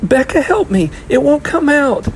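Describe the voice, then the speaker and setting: Man acting little storybook girl, squeaky high pitch, tearful, whiny, wailing, indignant. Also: very animated, measured pace, soft background music. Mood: wailing, desperate. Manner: wailing, whining protests. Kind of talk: audiobook character voice.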